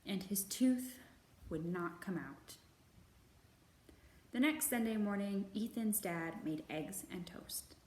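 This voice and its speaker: Female children's storytime reader, deliberate and expressive, mid-range voice, echoey room. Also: mid-range voice. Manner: slow, deliberate, expressive phrasing. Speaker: children's librarian hosting storytime. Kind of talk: picture book read-aloud for young children. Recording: roomy echo, faint background noise.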